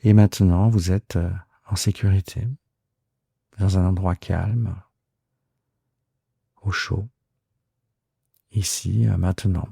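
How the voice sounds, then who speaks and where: A man guiding a relaxation meditation in a soft, husky, gravelly voice, soothing and unhurried, with hesitant pauses. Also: sits low, steady tone, no room sound, studio quality. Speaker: yoga nidra guide and podcast host.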